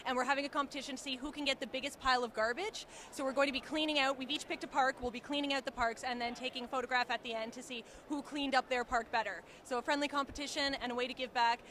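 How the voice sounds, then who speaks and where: Quick, bright young woman organizer. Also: sits high, fairly even intonation, a little hiss or hum. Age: young adult. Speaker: cosplay group organizer. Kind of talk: convention interview. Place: outdoors at a busy convention.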